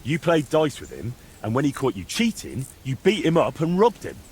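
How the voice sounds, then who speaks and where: An actor playing a calm young man in a medieval game, questioning people in a measured, dryly mocking, insistent way. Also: medium pitch, slight background noise. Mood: firm, accusing. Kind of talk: video game dialogue acting.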